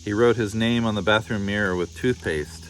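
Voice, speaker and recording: A man with a fairly low voice reads a children's story aloud. The narration is expressive and scripted. A faint background noise runs under the recording. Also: normal speed. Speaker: storybook reader.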